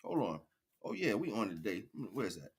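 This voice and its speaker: A man's voice: fairly low pitch, sing-song, normal speed, breathy edge. A man giving an improvised spiritual, motivational livestream talk in an emphatic, preachy way, repeating phrases for effect.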